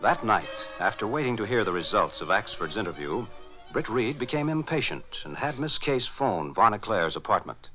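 Vintage radio narrator: American male, highly varied intonation, scripted delivery, music behind, muffled recording. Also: very animated, normal speed. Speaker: announcer and narrator. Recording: dull old radio broadcast.

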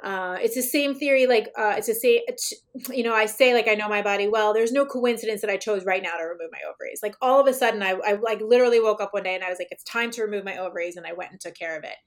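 A woman guest in a casual interview, her voice slightly raspy today, talking in a rambling way with many fillers and restarts. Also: mid-range voice, fast talker. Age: adult, mother of children.

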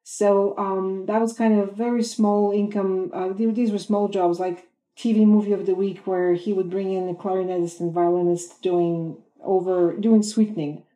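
A woman from Bulgaria in her fifties talks through her career in an interview. Her voice is very dark and mellow, and she pauses often with ums and uhs as she gathers her thoughts. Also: mid-range voice, little pitch movement, poor audio. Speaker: film composer guest. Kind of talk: podcast interview answers.

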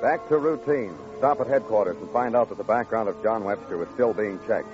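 An actor playing a world-weary police detective, speaking in clipped sentences in an old radio crime drama. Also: male voice, lowish voice, a little fast, natural voice. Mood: brooding narration. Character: low-key, world-weary police detective who narrates his cases. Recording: dull old radio recording, music behind.

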